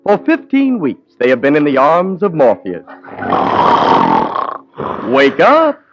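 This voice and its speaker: A man's voice: a little high, wildly swooping pitch, slow. A man narrating in mock-grand, theatrical storybook tones in 1940s radio comedy. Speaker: announcer. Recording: dull 1940s radio broadcast with music.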